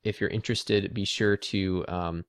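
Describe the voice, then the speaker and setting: A man's voice: sits low, fairly even intonation, a little slow, clear, resonant tone, no echo, studio quality. An American man presenting an instructional marketing video, explaining things conversationally and addressing the listener directly. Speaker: presenter, dental marketing consultant.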